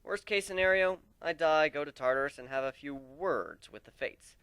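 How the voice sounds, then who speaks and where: A man's voice: fairly high pitch, normal speed, fairly bright tone, smooth, dry recording. A man voicing a brash, frank young griffin warrior in a dramatic story reading. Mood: determined, emphatic.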